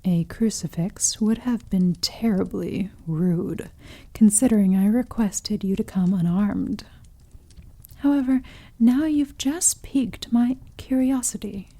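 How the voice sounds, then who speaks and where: A woman playing a seductive, possessive female vampire in an intimate ASMR roleplay, speaking softly and breathily, often whispering, with teasing, silky condescension. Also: medium pitch. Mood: curious, coaxing. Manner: slow, teasing, silky condescension with pet names.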